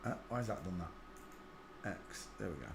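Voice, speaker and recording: A man's voice: low-pitched, lively intonation, normal speed, vocal fry, background noise. A man hosting a livestream, rambling to himself as he comments on photos. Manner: mutters to himself while working, trails off into asides. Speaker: livestream host.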